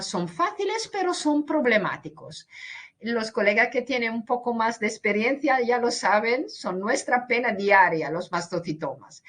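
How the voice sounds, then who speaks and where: A woman's voice: fairly high pitch, fairly bright tone. A woman giving a veterinary webinar lecture over a video call, speaking hesitantly and restarting words. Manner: hesitant, restarts words, mixes in English and Portuguese.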